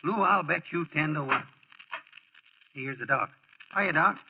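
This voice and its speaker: A man's voice: on the high side, a little slow, slightly rough. An actor playing a folksy, slangy, protective male friend in a 1940s-style radio drama. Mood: breezy reassurance. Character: loyal sportswriter friend, folksy, reassuring, protective man. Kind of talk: 1940s radio acting, dialogue and narration. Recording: muffled old radio broadcast recording.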